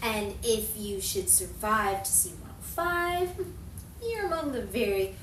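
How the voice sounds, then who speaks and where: A woman's voice: mid-range voice, lively intonation, measured pace, a bit bright. A woman acting a jaded, self-mocking single woman in a 1950s Hollywood-style audio drama, world-weary and sarcastic. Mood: cynical. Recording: roomy, distant mic, faint background noise.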